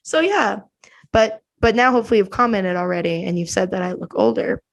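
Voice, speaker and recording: A woman's voice: medium pitch, fairly quick, vocal fry. A woman in her twenties with an American accent, chatting casually as a podcast host and bickering playfully. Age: 20s.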